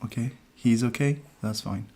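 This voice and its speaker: A man hosting a casual live numerology stream, soft-spoken and hesitant as he thinks aloud. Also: medium pitch, normal speed, vocal fry. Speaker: numerologist host.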